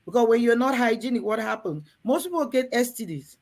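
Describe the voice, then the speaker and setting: A woman's voice: fairly high pitch, lively intonation, fairly quick, vocal fry, darkish tone. A woman from Cameroon hosting a frank talk to women in a room, earnest and coaxing, often turning to the ladies in her audience. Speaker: host.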